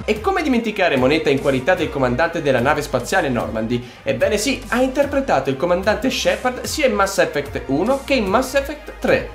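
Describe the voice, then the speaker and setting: A young man hosting a scripted video with lively, enthusiastic presenting. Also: high-pitched, brisk, hardly any pauses, over music. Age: young adult. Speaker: video host. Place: small room with shelves.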